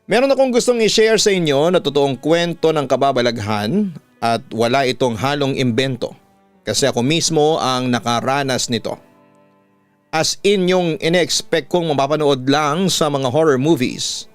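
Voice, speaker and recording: A man with a Filipino accent reads a listener's horror story letter as a radio storyteller, in a measured way with deliberate pauses. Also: medium pitch, clear, resonant tone, no room sound. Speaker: radio announcer and host reading listener letters. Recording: background music under the voice. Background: instrumental music.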